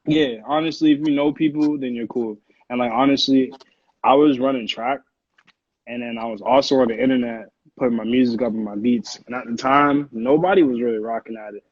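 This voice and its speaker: A man's voice: medium pitch, brisk, breathy edge. A man in his twenties with an American accent, chatting casually as an interview guest, with slangy, profane speech. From Connecticut, United States. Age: 20s. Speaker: interview guest, music producer. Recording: dull, roomy lo-fi call audio.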